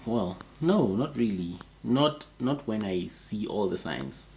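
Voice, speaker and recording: A man co-hosting a casual chat, his voice a little off from a flu, talking in a relaxed, musing way. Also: fairly low pitch, slow, a little hiss or hum, rough recording. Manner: relaxed, musing, laughs easily. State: sick with flu, voice a bit off. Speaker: co-host.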